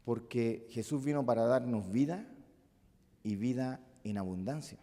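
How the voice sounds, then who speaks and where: A male pastor with a Chilean accent preaching a sermon, moving between loud emphatic peaks and soft, musing asides. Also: mid-range voice, a little slow. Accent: Chilean Spanish. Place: church hall. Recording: long reverb of a church hall.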